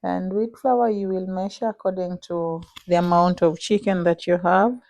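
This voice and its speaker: A young woman with a Kenyan accent talking through her cooking in a casual vlog, pausing often with "uh". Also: fairly low pitch, fairly even intonation, creaky, a little mellow, dry recording. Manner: unhurried, many "uh" pauses while cooking. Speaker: vlogger.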